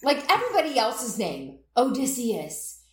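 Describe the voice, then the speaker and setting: A young woman co-hosting a podcast in casual chat, animated, laughing often and swearing freely. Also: fairly high pitch, a little slow, reverberant. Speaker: podcast co-host.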